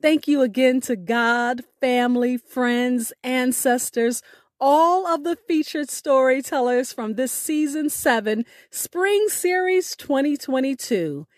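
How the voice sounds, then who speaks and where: A woman hosting a podcast, speaking in a deliberate, expressive way with drawn-out emphasis, as if reading a prepared sign-off. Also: high voice, no echo, clean studio sound. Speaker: podcast host. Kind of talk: scripted podcast sign-off with a recited poem.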